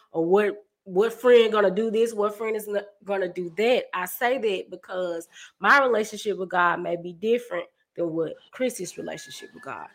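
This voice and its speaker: Thirty-something woman hosting a chat show, talks fast and lively, mid pitch. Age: 30s. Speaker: podcast host. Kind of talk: casual discussion.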